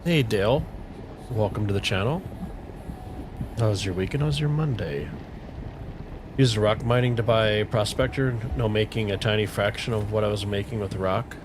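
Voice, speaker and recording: A middle-aged man chatting casually with viewers on a game livestream, relaxed and rambling, with a soothing voice. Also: on the low side, moderate pace, long pauses, noisy background. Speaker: streamer. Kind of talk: casual livestream chat while gaming. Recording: faint music playing underneath.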